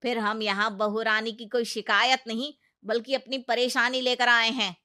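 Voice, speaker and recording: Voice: mid-range voice, flat delivery, moderate pace, bright, forceful tone, close to an everyday voice. A performer voicing a village council elder in a Hindi cartoon story, earnest, blunt and authoritative.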